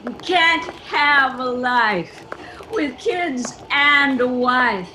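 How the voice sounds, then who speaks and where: A woman playing a weary, aggrieved meat cutter delivering slow rhythmic verse in a radio play. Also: sits high, expressive, sharp tone, a little hiss or hum, exaggerated voice, poor audio. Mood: weary lament. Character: weary, aggrieved meat cutter chanting about deadly work.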